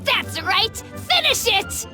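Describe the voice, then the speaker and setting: Cocky excited lil kid boy from a game, really high voice, unhurried, music behind. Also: heavily acted. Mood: confident. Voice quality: childlike. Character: confident young boy, excited beetle-fighting kid. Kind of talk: anime game voice acting.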